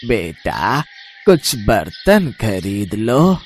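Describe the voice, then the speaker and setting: A humble old street peddler from a storybook , voiced by a man. He talks kindly, with lively movement in pitch, over faint background noise. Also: extremely slow, exaggerated voice. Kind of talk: animated storybook character acting.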